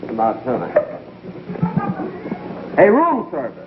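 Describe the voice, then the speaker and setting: A man's voice: mid-range voice, slow pace, loud music behind the voice. A man playing a wisecracking, grumbling convict in a 1950s comedy sketch. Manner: wisecracking, animated. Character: wisecracking, grumbling male convict at a cushy prison. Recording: echoey, muffled, very noisy 1950s broadcast.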